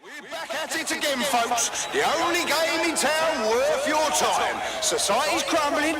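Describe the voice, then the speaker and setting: A man's voice: extremely high pitch, expressive, measured pace, very noisy. A man playing a showy underground fight announcer in a game, hyping up the bouts. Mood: rowdy showmanship. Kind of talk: ringside hype commentary. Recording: loud music and crowd behind.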